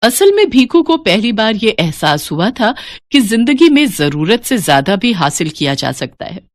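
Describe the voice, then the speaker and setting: A woman narrating a scripted children's story in an expressive storytelling style. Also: mid-range voice, background noise.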